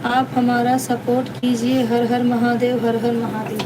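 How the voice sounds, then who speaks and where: A woman's voice: a little high, level tone, measured pace. A woman hosting a casual live stream, chatting repetitively with viewers and addressing them as sisters. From Deoria district, India. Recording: distant mic, noisy background.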